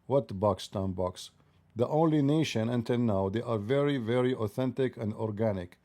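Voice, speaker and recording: A man's voice: mid-range voice, moderate pace, mellow. A middle-aged man, hosting a debate livestream, mocking and needling his guest with repeated pointed questions. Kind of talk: mocking, provocative debate talk.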